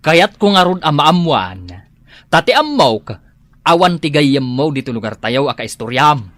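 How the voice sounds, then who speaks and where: A man's voice: a little high, big pitch swings, moderate pace, fairly bright tone, no echo. A man playing a jealous, suspicious husband in a melodramatic radio drama, interrogating and scolding. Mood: suspicious, brooding.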